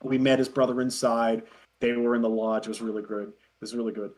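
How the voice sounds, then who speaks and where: A man chatting casually as a podcast guest, telling anecdotes in an animated, halting way and often chuckling. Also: medium pitch. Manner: animated storytelling, frequent chuckling, halting self-corrections. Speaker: podcast guest, parody web series creator.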